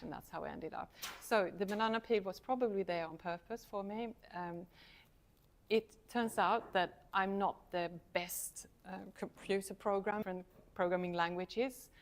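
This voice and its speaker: A woman's voice: lowish voice, steady tone, normal speed, a little hiss or hum. A middle-aged woman with a Swedish accent, a university lecturer giving a casual, self-deprecating talk to a student society and laughing often. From Sweden. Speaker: university lecturer, guest speaker.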